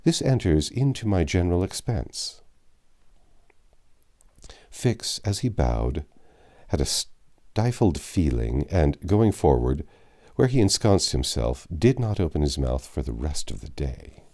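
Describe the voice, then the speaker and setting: A man narrating a classic adventure novel aloud, with measured, dramatic phrasing and some drawn-out words. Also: rumbling low, big pitch swings, darkish tone. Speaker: audiobook narrator.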